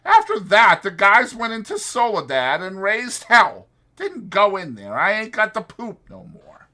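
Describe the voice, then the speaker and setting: A man's voice: high-pitched, wildly swooping pitch, a little slow, sharp tone, pitched above the performer's own voice, exaggerated voice. A man voicing a chatty old ranch swamper with rambling, folksy speech for a dramatized audiobook. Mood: excited, gossipy.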